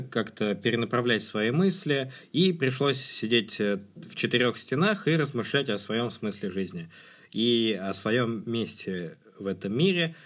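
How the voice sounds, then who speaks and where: A man co-hosting a podcast, giving his view in a conversational film discussion. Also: mid-range voice. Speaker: podcast co-host.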